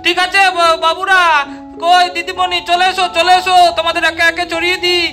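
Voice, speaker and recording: A man's voice: extremely high pitch, completely flat delivery, a little fast, bright, forceful tone, faint music behind. A man voicing an eager village camel driver in a doll story, haggling and reassuring, often repeating short phrases. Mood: eager, obliging. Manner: repeats short calls and urgings. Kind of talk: amateur doll-story voice acting.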